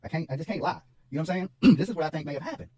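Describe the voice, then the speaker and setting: A man's voice: on the high side, lively intonation, very fast, dark tone, slightly rough. A man talking casually to his livestream audience, insistent, repeating himself and checking for agreement. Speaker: online true crime content creator.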